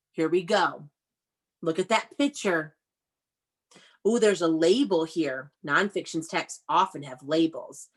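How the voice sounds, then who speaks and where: Slow-paced woman teaching young children. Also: lowish voice, a bit bright. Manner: instructive, prompting with questions and repetition. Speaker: elementary school teacher. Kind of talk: interactive read-aloud lesson for young children over video call. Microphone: video call.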